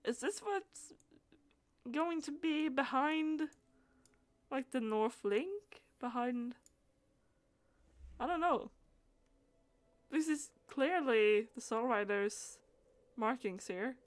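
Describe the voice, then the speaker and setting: Slow, higher-voiced woman streaming a game. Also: expressive. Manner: hesitant, thinking aloud with trailing phrases. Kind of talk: casual live gaming stream commentary.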